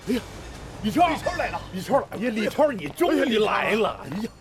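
A man's voice: high-pitched, sing-song, fast talker, a loud crowd. A man acting an anxious, fatherly factory director in a Chinese radio drama, exhorting his workers under pressure. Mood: relieved, apologetic. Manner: emphatic, exhorting. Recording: noisy factory ambience behind voice.

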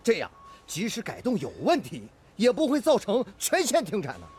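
A man's voice: high voice, wildly swooping pitch, moderate pace, a little mellow, slight background noise. A male actor playing a stubborn, inventive steel-mill technician and mentor in a Chinese radio drama. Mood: earnest, insistent.

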